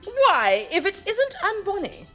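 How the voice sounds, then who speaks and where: An actress playing a haughty, commanding baroness in an audio drama. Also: female voice, very high-pitched, wildly swooping pitch, unhurried, loud music behind the voice, heavily acted. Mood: brisk, conspiratorial. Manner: grand, commanding, theatrical. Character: imperious woman posing as a haughty baroness.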